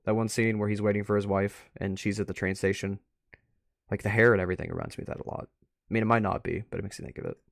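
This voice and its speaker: A man's voice: fairly low pitch, fairly even intonation, fast, vocal fry, dry recording, clean studio sound. A man giving casual, off-the-cuff commentary as a stream host, rambling and musing aloud. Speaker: host of a live art review stream.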